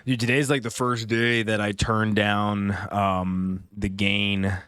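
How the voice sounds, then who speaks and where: Deep-voiced male streamer, close and dry. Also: flat delivery, takes it slowly, a bit bright, clear, resonant tone, no echo. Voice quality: naturally deep voice. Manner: rambling, casual slang and swearing. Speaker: livestreamer. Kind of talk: casual gaming chat. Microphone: close streaming mic, amplified.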